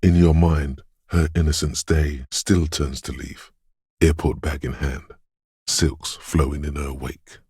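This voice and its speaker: A man voicing a detective's eerie, dreamy intuition in a video game, narrating in a husky, hushed, ominous and poetic way. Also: flat delivery, slow pace, dry recording, studio quality, exaggerated voice. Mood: haunted, ominous. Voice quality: husky, gravelly bass. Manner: hushed, ominous, poetic, lingering on short phrases. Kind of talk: atmospheric second-person video game narration.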